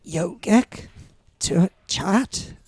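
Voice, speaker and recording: A man in his seventies with a Welsh accent and a croaky voice, telling stories casually as a guest, rambling with lots of fillers. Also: high voice, expressive, darkish tone, dry recording. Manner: rambling, halting, with many fillers. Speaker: guest surfer. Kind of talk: casual storytelling.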